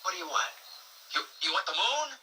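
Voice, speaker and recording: A man's voice: sits high, very animated, brisk, sharp tone, full character voice. An actor playing an eager, earnest young man in love, in 1940s Hollywood film style. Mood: eager, romantic. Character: eager romantic young man wooing a sweetheart. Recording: old film soundtrack, distant, faint noise.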